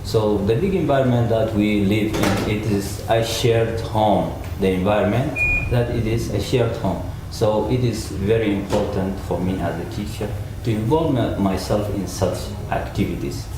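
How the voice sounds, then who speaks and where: A man's voice: on the low side, moderate pace, few pauses. Teacher, man, earnest, repeats himself; distant and echoey. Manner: earnest, repeats his points. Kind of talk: panel discussion answer. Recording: echoey room, mic far off, noisy.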